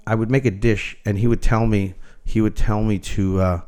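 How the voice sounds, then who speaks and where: A man's voice: on the low side, little pitch movement, moderate pace, mellow. A middle-aged man, a chef talking casually and candidly as an interview guest, musing and opinionated. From Canada. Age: middle-aged or older. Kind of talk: casual interview chat, anecdotal.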